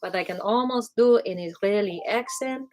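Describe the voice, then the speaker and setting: A woman's voice: medium pitch, normal speed. A woman teaching a live online qigong class, playful and chatty, counting aloud and sometimes dropping into a whisper. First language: Hebrew. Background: background music.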